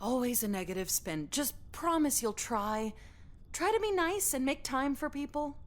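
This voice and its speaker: A woman's voice: sits high, very animated, normal speed. A woman playing a preachy, sweetly coaxing middle-aged woman in a game, lecturing in a saccharine manner. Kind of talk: scripted visual novel dialogue.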